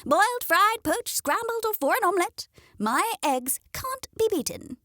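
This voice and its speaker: A woman voicing a boastful, self-important, fussy mother hen in an animated storybook read-aloud. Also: very high voice, unhurried, dry recording, studio quality, voice pushed up, heavily acted. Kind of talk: animated children's storybook character voice.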